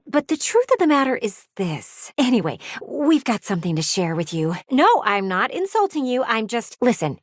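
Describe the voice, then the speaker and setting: Game role: meddling, easily offended talking-window lady. Highish, very animated, gushing, theatrical. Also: no room sound, clean studio sound. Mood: concerned, meddling, then patronizing. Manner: theatrical, gushing, indignant, condescending. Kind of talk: animated video game character acting.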